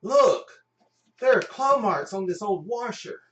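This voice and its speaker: A man playing an excitable, gullible appliance repairman in an amateur live radio play, exclaiming in alarm. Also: high-pitched, unhurried, distant mic, dull audio, voice pushed up. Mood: excited alarm. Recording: echoey room, off mic, music behind.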